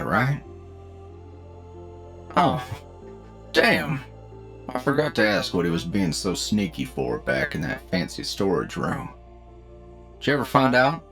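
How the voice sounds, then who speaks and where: A friendly, folksy bounty hunter played by a man in an anime audio drama. His mid-pitched voice has a gravelly creak, casual and reassuring, over music. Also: fairly bright tone, exaggerated voice. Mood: musing. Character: easygoing, friendly bounty hunter mentoring a rookie partner. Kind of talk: anime audio roleplay acting.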